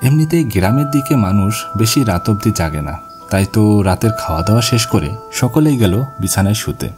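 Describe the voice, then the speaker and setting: A man's voice: lowish voice, expressive, moderate pace. A man reading scripted first-person ghost story narration for an animation. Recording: music bed behind the voice. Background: music.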